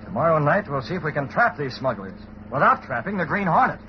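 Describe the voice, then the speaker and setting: Muffled, noisy old radio recording. a commanding masked crime-fighter who talks extremely fast, crisp and businesslike, in 1930s serial style. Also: male voice, fairly high pitch, very animated. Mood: resolute briefing.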